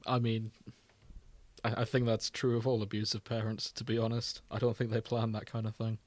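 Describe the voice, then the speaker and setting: A man's voice: lowish voice, monotone, normal speed, no room sound. A man co-hosting a casual comedic podcast, with a wry, bantering and sometimes sweary manner. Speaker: podcast co-host.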